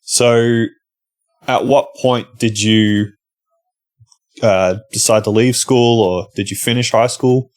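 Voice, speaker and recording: A man's voice: mid-range voice, steady tone, slow, long pauses. A man hosting a podcast, asking questions in an easygoing, casual way. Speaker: podcast host. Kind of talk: casual interview.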